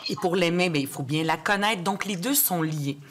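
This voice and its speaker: A woman's voice: on the low side, normal speed. A woman with a Québécois accent, a legislator asking long, hesitant questions at a committee hearing. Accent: Québécois French.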